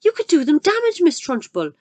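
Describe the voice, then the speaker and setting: A woman puts on a gentle young schoolteacher from a storybook. She pleads in a raised, anxious voice that rises high. The recording is close and dry. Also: lively intonation, moderate pace, no echo. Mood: pleading. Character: gentle young female teacher, kind and anxious.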